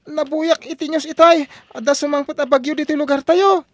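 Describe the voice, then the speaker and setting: A woman's voice: sits high, fairly even intonation, brisk, faint music behind, close to an everyday voice. A woman playing a worried young daughter warning her parents of a storm in a radio drama. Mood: urgent.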